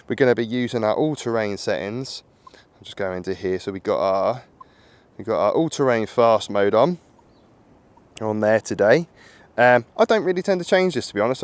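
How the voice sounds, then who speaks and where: A man with a husky voice from a cold, chatting casually while metal detecting in an open field outdoors. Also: medium pitch. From England. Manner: chatty, rambling, upbeat, laughs often. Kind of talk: casual outdoor vlog chat.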